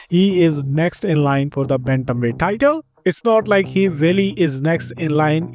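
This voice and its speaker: A man with an Indian accent hosting a scripted combat-sports news roundup, upbeat and quick. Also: a little high, darkish tone. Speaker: host of an MMA news channel.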